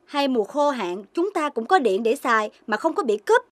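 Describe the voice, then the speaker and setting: A woman's voice: high-pitched, sing-song, normal speed, dry recording. A woman voicing a caring mother dog in a children's cartoon, motherly and instructive. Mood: gently scolding. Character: caring dog mother, gently scolding and patient. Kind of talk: Vietnamese children's cartoon dubbing.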